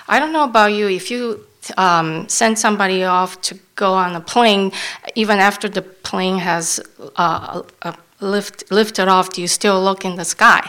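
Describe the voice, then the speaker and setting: Woman interpreter at mid pitch, halting delivery with restarts. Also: a bit bright, clear, resonant tone. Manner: halting, restarts while searching for words. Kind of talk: live sermon interpretation.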